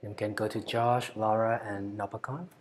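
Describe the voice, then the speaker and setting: A man moderating a video call, passing the turn to each speaker with brief, relaxed handoffs. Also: lowish voice, normal speed, slight background noise.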